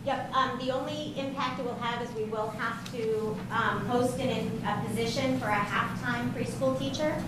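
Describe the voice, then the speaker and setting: A woman, a special education director, giving a brisk update with a loud voice and frequent ums at a school board meeting. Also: on the high side, steady tone. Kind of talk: presenting at a public meeting. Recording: very echoey room, heavy noise.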